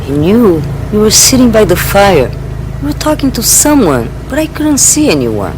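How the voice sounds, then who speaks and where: A woman's voice: mid-range voice, very animated, normal speed, natural voice. A woman playing a curious jungle travelling companion in a radio drama. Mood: curious, wondering. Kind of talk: naturalistic radio drama acting. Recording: clear outdoor ambience, vehicle hum.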